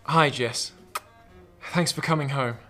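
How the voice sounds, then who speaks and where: A man's voice: mid-range voice, slow, bright, forceful tone. A man acting in a radio drama as an anxious, protective intelligence officer, urgent and cautioning. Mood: guarded, urgent. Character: anxious, earnest male intelligence officer, protective of his lover. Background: music.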